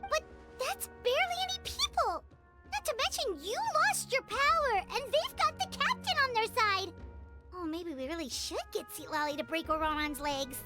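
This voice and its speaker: A woman voicing a small, excitable, childlike fairy companion in a video game, squeaky, chatty and dramatic. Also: normal speed, over loud music. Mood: alarmed. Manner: exclamatory, eager. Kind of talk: animated game voice acting.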